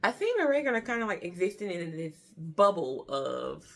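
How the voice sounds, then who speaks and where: A woman's voice: lowish voice, big pitch swings, moderate pace, gravelly, fairly bright tone. An American woman in her early thirties giving a casual book review in an informal, chatty way, repeating herself for emphasis. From Detroit, Michigan, USA.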